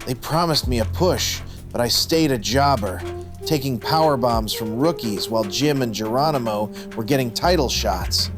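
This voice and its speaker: An actor playing a middle-aged, washed-up wrestler, bitter, defensive and self-loathing, in a noir radio drama. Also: male voice, mid-range voice, moderate pace, music in the background, natural voice. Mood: bitter, ashamed.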